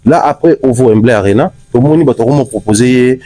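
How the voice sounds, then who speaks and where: A man's voice: medium pitch, a little fast, warm tone, no echo. A man from Congo giving a street interview, talking emphatically and slipping Lingala words into his French.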